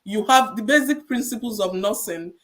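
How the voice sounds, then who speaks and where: A woman with a Nigerian accent talks in a middle register, a nurse guest on a podcast. She gives advice in a measured, encouraging way and comes back to her main points so they stick. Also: medium pitch.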